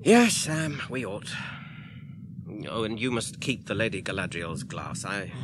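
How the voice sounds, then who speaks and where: A man with an English accent playing a weary, gentle, burdened hobbit ring-bearer in a radio drama, halting and tremulous in his speech. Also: fairly low pitch, sing-song. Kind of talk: British radio drama acting. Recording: noisy archive radio drama recording.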